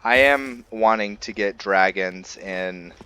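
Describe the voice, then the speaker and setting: A man giving casual, unscripted gameplay commentary, musing and haltingly thinking aloud. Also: lowish voice, pushed and bright, smooth, poor audio. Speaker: gamer hosting a let's play.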